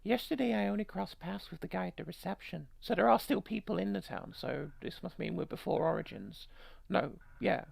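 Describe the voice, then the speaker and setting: A woman's voice: fairly low pitch, animated, brisk, gravelly, a little mellow, slightly rough, dry recording. A woman streaming a game, reading the story aloud with irreverent, sweary, joking commentary. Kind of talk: game playthrough, narration mixed with casual commentary.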